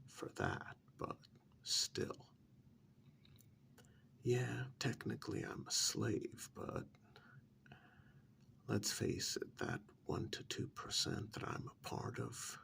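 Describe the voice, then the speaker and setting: A man playing a smug, pampered captive in a soft-spoken ASMR role-play, drawling sardonically with long pauses and feigned innocence. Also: lowish voice, slight background noise, full character voice. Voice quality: soft, half-whispered, gravelly.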